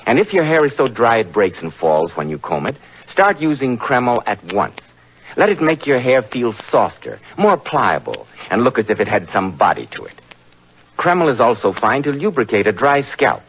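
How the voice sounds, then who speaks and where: An American man sells like a polished 1940s radio announcer, his medium-pitched voice rising and falling emphatically. The old recording is dull, with faint noise behind him. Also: big pitch swings, normal speed.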